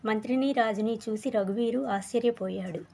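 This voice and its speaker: A woman's voice: fairly high pitch, normal speed, gravelly, warm tone. A woman narrating a scripted children's story.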